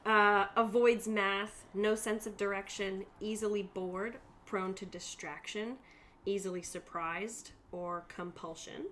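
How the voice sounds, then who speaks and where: A woman's voice: mid-range voice, fairly even intonation, slow pace, a bit bright. Woman game master, noisy room behind her. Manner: informal, explanatory, reading out lists. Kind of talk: explaining tabletop game rules to players.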